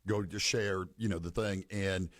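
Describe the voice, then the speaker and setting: A man from Louisiana, co-hosting a morning radio show, rambling and joking in casual banter with a low voice. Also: fairly even intonation, normal speed. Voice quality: low-register voice. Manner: rambling, joking, self-interrupting. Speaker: radio show co-host and wedding singer. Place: radio studio.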